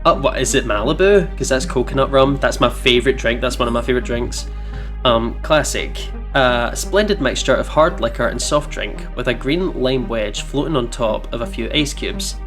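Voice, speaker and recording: A man's voice: medium pitch, moderate pace, fairly bright tone. Playful British man hosting a let's play. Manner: giggly, playful. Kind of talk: casual gaming commentary and read-aloud narration. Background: game music.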